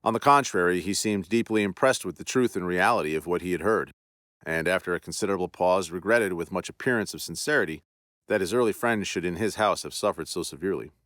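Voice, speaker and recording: Male narrator, solemn and deliberate; low voice, dead-dry sound. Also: a bit bright, no room sound. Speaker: podcast host and narrator. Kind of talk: dramatic reading of a classic ghost story.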